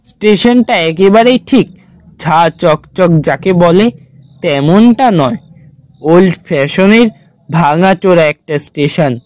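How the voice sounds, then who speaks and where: A man narrating a scripted horror story in a measured, dramatic storytelling manner. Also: high-pitched, darkish tone, dry recording.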